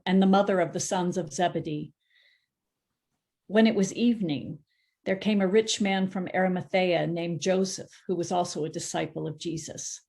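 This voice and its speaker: A woman narrating a Bible passage at a church service. Her reading is steady and measured, with an occasional stumble that she corrects. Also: on the low side. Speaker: scripture reader.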